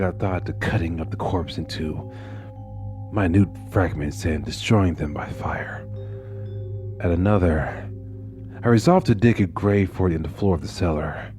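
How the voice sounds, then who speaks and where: A man's voice: sits low, a little mellow, lightly acted. A man playing a tormented, brooding murderer in a theatrical Gothic horror monologue. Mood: cold, calculating. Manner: theatrical, swings between breathy whispers and furious outbursts. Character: tormented, brooding murderer confessing with growing madness.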